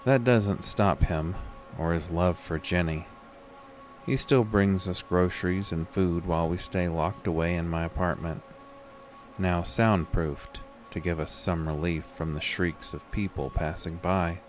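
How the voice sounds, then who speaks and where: Haunted male horror narrator played by a male actor: very low voice, unhurried and weary, music underneath. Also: fairly even intonation. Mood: somber. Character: haunted man recounting a horror, weary and uneasy. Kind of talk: scripted first-person horror audio drama narration.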